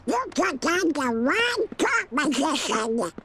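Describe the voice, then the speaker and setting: A man voicing a cocky, excitable male cartoon duck wizard in a video game, garbled and quacking. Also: extremely high pitch, slow, a little hiss or hum, full character voice. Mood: boastful. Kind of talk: cartoon character in game cutscene.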